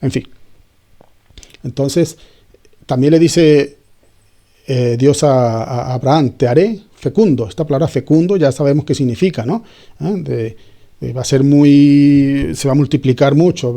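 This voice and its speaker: A man's voice: medium pitch, unhurried, dark tone. A man giving an informal Bible teaching, talking conversationally with frequent restarts and checking questions to his listeners. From Spain.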